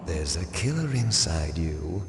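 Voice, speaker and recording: A man's voice: sits low, sing-song, full character voice. A man playing a battle-hungry super-soldier villain in a video game, taunting theatrically. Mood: menacing. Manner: theatrical, taunting, drawn-out delivery. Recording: echoey cavernous hall reverb.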